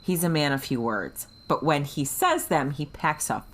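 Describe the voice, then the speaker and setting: A woman's voice: low voice, animated, normal speed. A woman co-hosting a book podcast, talking casually in an emphatic, gushing, sweary way. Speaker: book club podcast co-host.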